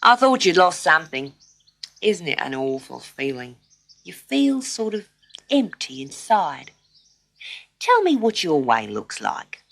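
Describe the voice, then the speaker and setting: A kindly mother kangaroo from a children's storybook, voiced by a woman. She talks gently and emphatically in a chatty, matter-of-fact way, taking her time as her pitch leaps up and down. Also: big pitch swings, unhurried, vocal fry, full character voice. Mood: kindly curious.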